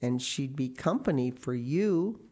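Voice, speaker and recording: A woman voicing a shy, mild older man from a storybook, gently stubborn, with slow, halting, reluctant speech. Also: rumbling low, animated, exaggerated voice. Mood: mildly persistent. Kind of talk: storybook character reading.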